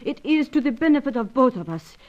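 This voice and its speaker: High-voiced, swooping Latin seductress. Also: female voice, expressive, brisk. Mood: desperate pleading. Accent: Latin American. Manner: theatrical pleading, foreign phrasing. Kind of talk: old-time radio spy serial parody acting. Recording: dull lo-fi radio sound.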